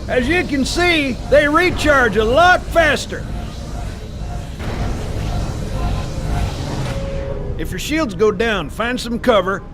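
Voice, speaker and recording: Gruff older armorer for a game cinematic: high-set voice, brisk, no-nonsense instruction over clear music. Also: male voice, lively intonation. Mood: instructing. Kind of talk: video game cutscene acting. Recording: music behind.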